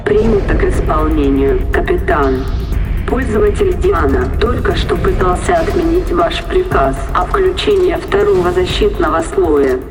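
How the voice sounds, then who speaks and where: An actor playing the obedient computer voice of a spaceship in a science fiction audio drama, clipped, formal and procedural. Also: male voice, sits high, wildly swooping pitch, a little slow, over music, exaggerated voice, poor audio. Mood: impassive, formal.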